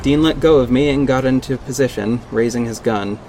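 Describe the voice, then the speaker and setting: A man's voice: medium pitch, a little fast, a little mellow, soft background music, lightly acted, poor audio. A man playing a confident vigilante detective who narrates his own story in an earnest, dramatic audio drama style. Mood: tense, dramatic. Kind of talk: first-person audio drama narration with acted dialogue.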